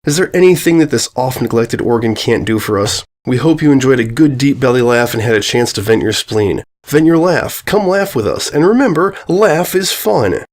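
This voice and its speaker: A man's voice: medium pitch, animated, normal speed, few pauses, close to an everyday voice. A man voicing an upbeat television prank show announcer with presentational sign-off patter, within a narrated story. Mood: showy, cheerful.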